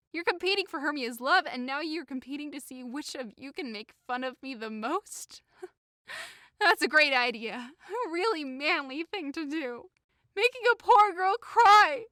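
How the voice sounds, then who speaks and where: A woman's voice: high-pitched, pushed and bright, no echo, studio quality. A woman playing a hurt, indignant young woman in a modern-English Shakespeare audio drama, impassioned, accusing and sarcastic. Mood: bitter, sarcastic. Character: hurt, indignant young woman convinced she is being mocked.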